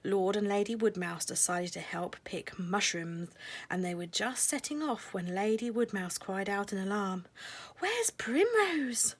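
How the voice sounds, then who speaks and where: Woman reading a kids story aloud, measured and expressive, phrases trail off soft. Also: mid-range voice. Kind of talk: scripted children's storybook narration.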